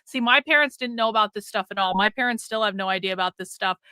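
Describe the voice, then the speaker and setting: Woman, livestream host, casual rambling, blunt, sweary, repetitive phrasing, forceful bright tone, very clean voice. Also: mid-range voice, fast talker, smooth, no echo. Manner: repeats phrases for emphasis.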